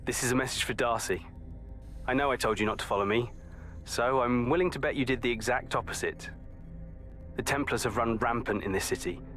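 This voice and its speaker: A man's voice: medium pitch, a little fast, a bit bright, soft background music, natural voice. An actor playing a determined, headstrong young male assassin leaving an earnest briefing in a video game audio log.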